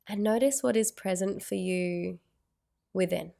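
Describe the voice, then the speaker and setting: A woman leading a guided meditation in a soothing, unhurried way, with gentle phrasing and long pauses. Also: medium pitch, gravelly.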